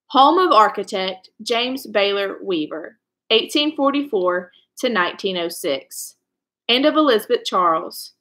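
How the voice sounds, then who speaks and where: Bright, higher-pitched young woman reading aloud. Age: young adult. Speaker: reader reading a children's novel aloud. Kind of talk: read-aloud storytelling. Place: indoor room at home.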